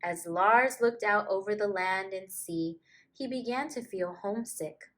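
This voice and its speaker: A woman's voice: mid-range voice, a little slow, low-quality recording. A woman teacher reading a storybook and talking to young children in an encouraging way, with simple phrasing. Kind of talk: storybook read-aloud and gentle address to children.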